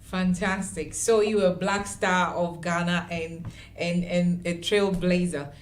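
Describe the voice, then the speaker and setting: A woman hosting a radio interview, eager and quick to praise her guest. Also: lowish voice, steady tone. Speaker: radio host. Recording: roomy echo.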